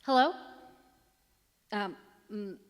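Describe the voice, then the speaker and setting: An actress playing a long-suffering, exasperated wife in a comic live radio play. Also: female voice, mid-range voice, drawn-out words. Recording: live stage sound in echoey hall.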